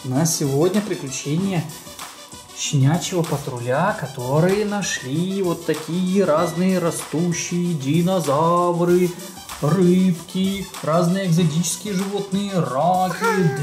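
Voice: mid-range voice, slow, darkish tone, reverberant, faint music behind. An adult co-host presenting a children's toy unboxing video and narrating each step.

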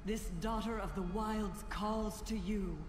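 An actress playing a devout druid of the wilds in a game, reverent and measured. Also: female voice, medium pitch, fairly even intonation, lightly acted. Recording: echoey reverb, music behind.

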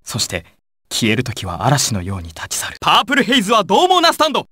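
Casting: intense young male mobster, video game. Husky, highly animated delivery, theatrical and volatile, recorded dry. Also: fast, dry recording, exaggerated voice. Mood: firm, menacing. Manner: dramatic, swinging from controlled analysis to urgent shouting. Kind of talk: dramatic Japanese game dialogue and battle clips.

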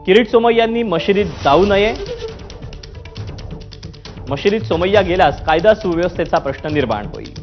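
A man's voice: high voice, animated, moderate pace, pauses often. A man presenting scripted news in an emphatic, formal delivery. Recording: muffled broadcast audio with music bed. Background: news music bed.

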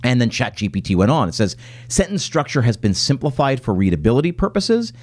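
Man, 40s, solo podcast host at a home desk; animated pitch, middle-range voice, casual explainer with fillers. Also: a little fast. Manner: reads lists aloud, then talks loosely with many fillers and restarts. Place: home office with plants.

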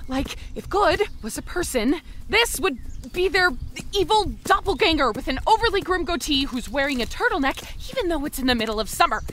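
A woman's voice: high-pitched, bright, forceful tone, heavily acted. A woman playing a chatty, panicky, friendly young zombie who rambles and quips to the listener in a comedy audio drama. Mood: exasperated. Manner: rambling, quippy, self-interrupting.

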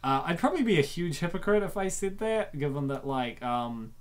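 Animated, excitable comic reaction host. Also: medium pitch, fairly quick, clear, resonant tone. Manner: excitable, dramatic reactions, repeats phrases. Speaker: host of comic dub show. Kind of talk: reacting to and reading a web comic aloud.

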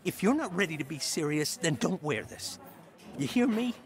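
A man's voice: fairly high pitch, sing-song, moderate pace, soft background music. An actor playing a passionate, jealous, temperamental teenage boy in a radio drama. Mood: jealous, angry.

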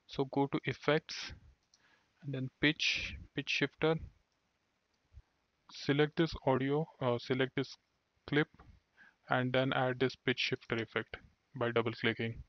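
A man giving a screen-recorded software tutorial, explaining steps one by one and sometimes restarting words. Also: mid-range voice, little pitch movement, measured pace, long pauses, no room sound. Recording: dull dry lo-fi voice-over.

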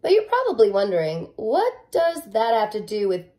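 A woman reads a children's picture book aloud in the manner of a teacher. Her delivery is playful and expressive, with a widely swinging pitch. She leans on key words and slips in casual asides. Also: moderate pace.

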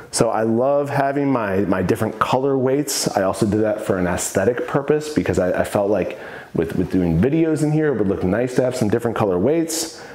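A man's voice: medium pitch, a little mellow. A man in his thirties, a fitness coach, casually showing off the equipment in his home gym and sharing his opinions on it with enthusiasm. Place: home gym in a basement room. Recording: echoey room.

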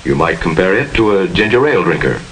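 A man's voice: low voice, expressive, fast, a bit bright. An American man giving formal, instructive narration with precise diction in the style of a 1950s educational film. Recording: hissy 1950s film soundtrack.

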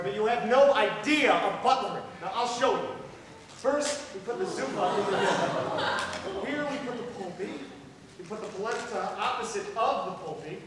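Man playing a fussy comic manservant in an echoey hall. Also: very high-pitched. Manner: indignant, fussy, exclamatory. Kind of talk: broad live stage farce acting. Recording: echoey noisy hall from far off.

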